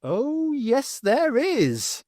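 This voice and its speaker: A sardonic woman adventurer, as voiced by a reader, pitched above the narration with a wide, lively swing. She is dry, sarcastic and quick to exclaim, read close in a dead room. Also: no echo, heavily acted. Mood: defiant.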